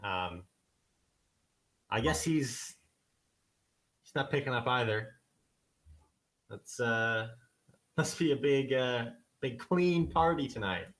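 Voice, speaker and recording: A man's voice: medium pitch, expressive, slow, long pauses, fairly bright tone. Man playing a rambling cop trying to seem hip. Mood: eager. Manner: rambling, eager, full of filler words. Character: adult male police constable trying too hard to seem hip to teens. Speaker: radio show guest. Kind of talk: comedic improvised radio talk.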